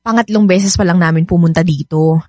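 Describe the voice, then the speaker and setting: A woman's voice: fairly low pitch, brisk, a little mellow, no echo, close to an everyday voice. An actress playing a friendly, apologetic and chatty young woman in a Filipino radio drama. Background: music.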